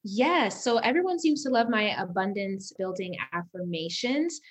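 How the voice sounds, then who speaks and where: A woman's voice: medium pitch, expressive, moderate pace, husky. A woman from Uganda, raised in the US, speaking as an upbeat, motivational guest on a casual podcast interview, often laughing. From Uganda, raised in Las Vegas. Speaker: guest entrepreneur.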